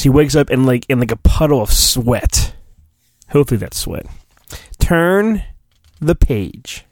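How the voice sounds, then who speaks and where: Man, podcast host, lively higher-pitched voice, mock-dramatic reading, exaggerated emphasis, digressive joking asides, dry close mic. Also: no echo.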